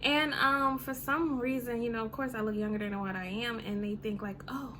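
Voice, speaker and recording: A woman in her thirties chats to her vlog audience in a loose, rambling way, full of "you know" and "um". Her voice sits on the higher side. A clear hum of background noise runs behind her. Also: steady tone, moderate pace, fairly bright tone. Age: 30s. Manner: chatty, many fillers like "you know" and "um". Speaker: vlogger. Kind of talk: casual rant to camera.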